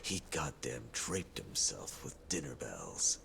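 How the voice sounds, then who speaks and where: Very deep, gravelly, grim tough guy. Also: very animated, a bit bright, a little hiss or hum, exaggerated voice. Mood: grim, sardonic. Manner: measured, folksy, sardonic storytelling. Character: grim, world-weary male tough guy. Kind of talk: video game cutscene acting. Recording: music behind the voice.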